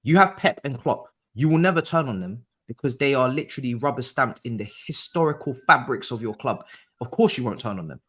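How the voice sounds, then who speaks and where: A man's voice: mid-range voice, lively intonation, a little fast, slightly rough. A man on a football chat show, earnestly pushing his point. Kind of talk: casual football debate.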